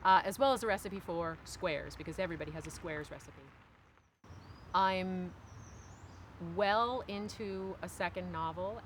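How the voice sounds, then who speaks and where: A woman's voice: lowish voice, a bit bright, a little hiss or hum. A woman in her forties from Cape Breton, Canada, giving thoughtful, emphatic, conversational answers as an interview guest. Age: 40s. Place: outdoors on a wooden bench by woods.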